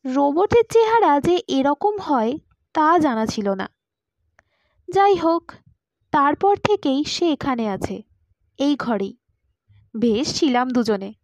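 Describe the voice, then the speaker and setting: A woman's voice: sits high, big pitch swings, slow pace, long pauses, darkish tone, no room sound. A woman reading a first-person story aloud as a scripted audiobook narrator.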